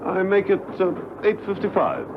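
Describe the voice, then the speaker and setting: An urbane gentleman spy, droll, with a rather high, very lively voice. He is heard in a muffled old radio play with loud noise. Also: moderate pace. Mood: composed. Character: urbane, unflappable gentleman secret agent. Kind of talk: spy radio drama acting.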